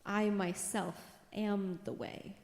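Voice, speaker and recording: A woman playing Peace, a steady, self-assured motherly figure, in a dramatized church reading. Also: mid-range voice, takes it slowly, creaky. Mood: assured, earnest. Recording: echoey hall, long reverb.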